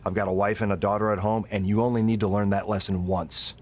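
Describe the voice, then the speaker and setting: A man playing a pragmatic wrestling official in a game cutscene, speaking in a measured, matter-of-fact way. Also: fairly low pitch, level tone, a little hiss or hum, lightly acted. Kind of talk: scripted game cutscene dialogue.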